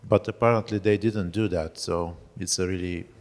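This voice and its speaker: A man's voice: sits low, fairly even intonation, normal speed, darkish tone. A man in the audience answering the presenter in an offhand way. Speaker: audience member. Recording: distant voice in a reverberant hall.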